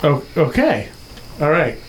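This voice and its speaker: A man's voice: fairly high pitch, very animated, measured pace, slight background noise. A man hosting a casual podcast interview, giving brief, laid-back prompts and questions. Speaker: podcast host.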